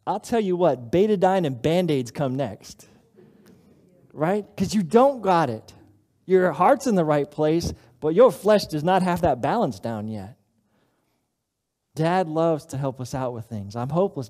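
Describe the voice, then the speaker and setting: A middle-aged man preaching a sermon as pastor, earnest and conversational, with dramatic pauses. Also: a little high, darkish tone. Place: church sanctuary platform.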